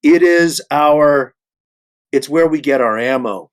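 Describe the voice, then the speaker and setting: A man speaking earnestly in a discussion, halting and repeating words as he makes emphatic points. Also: fairly high pitch. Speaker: panelist, theatre artist.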